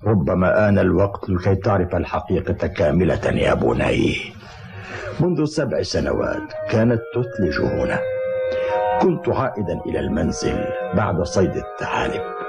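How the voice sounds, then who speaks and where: A man's voice: deep voice, big pitch swings, normal speed, creaky, loud music behind the voice, full character voice. An actor playing a caring, earnest old mountain grandfather in a dubbed cartoon, speaking formal Arabic with a paternal manner. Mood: solemn, reflective. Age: older.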